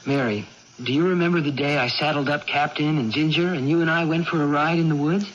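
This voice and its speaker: Actor playing earnest young brother, gentle, hesitant, patient, radio drama acting, dull broadcast with faint hiss. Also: male voice, a little high, fairly even intonation, brisk, lightly acted. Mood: earnest coaxing.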